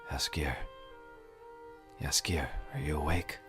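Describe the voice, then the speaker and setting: A man playing a gruff, guilt-ridden, taciturn monster hunter in an audio drama, speaking in terse, halting phrases. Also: extremely low pitch, steady tone, fairly bright tone, soft background music, full character voice. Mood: awkward, insistent.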